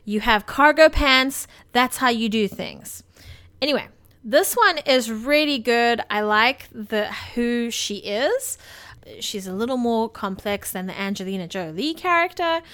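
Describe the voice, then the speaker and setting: Playful, higher-voiced woman chatting about films. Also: expressive, measured pace, fairly bright tone. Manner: informal, playful, opinionated. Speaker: podcast co-host. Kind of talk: casual film review chat.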